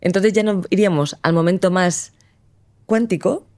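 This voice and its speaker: A woman's voice: lowish voice, normal speed, darkish tone. Woman host, supportive, explains ideas step by step in conversation. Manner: supportive, explanatory, speaks of neuroscience and energy. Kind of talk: conversational interview.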